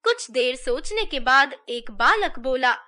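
Bright, high-pitched woman storyteller. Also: expressive, unhurried. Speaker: narrator. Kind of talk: scripted children's story narration.

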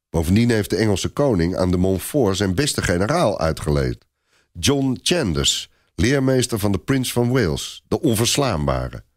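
A man narrating a dramatic historical story for a radio play in an expressive storytelling manner. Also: deep voice.

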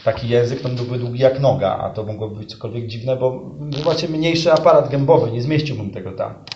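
A man's voice: medium pitch, fairly quick, dark tone, close to an everyday voice. A man playing a laid-back, chatty toad who talks in a colloquial, matter-of-fact way in improvised fairy-tale comedy. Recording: echoey live room, muffled and noisy.

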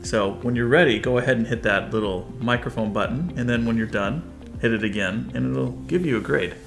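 A man's voice: mid-range voice, a little fast, vocal fry, music in the background. A man in his forties with an American accent, explaining and coaching as a video host in a casual instructional style. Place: furnished living room, indoors.

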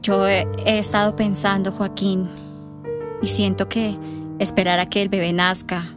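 A woman's voice: mid-range voice, flat delivery, unhurried, lightly acted. Actress as distressed young girl over music. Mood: sad, resolute. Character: distressed pregnant teenage girl, grieving and hesitant. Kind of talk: radio drama acting. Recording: music behind the voice.

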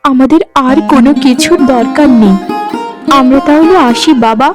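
Woman doing a sweet supportive cartoon village wife, really high voice, agreeable, faint music behind. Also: normal speed, natural voice. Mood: sweet, contented. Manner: agreeable, soothing. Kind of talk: cartoon voice acting.

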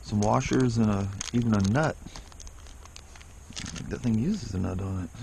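A man's voice: fairly low pitch, measured pace, gravelly, mellow, slight background noise. A man casually vlogging outdoors, unboxing and trying a camera pole while thinking aloud. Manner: rambling, self-deprecating, thinks aloud. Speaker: vlogger reviewing gear. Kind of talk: casual unboxing and ride vlog. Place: outdoors on a rural property. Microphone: action camera held in hand.

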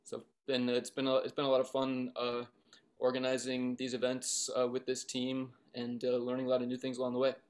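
A man who helps organize the group, speaking casually with many pauses and fillers over a video call. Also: medium pitch, completely flat delivery. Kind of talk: casual chat.

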